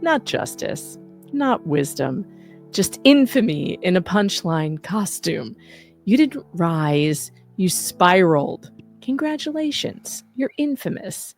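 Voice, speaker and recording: A woman's voice: on the low side, sing-song, slow, creaky, faint music behind. A woman reading out a scripted satirical roast as an online host, with a sarcastic, punchy, emphatic delivery.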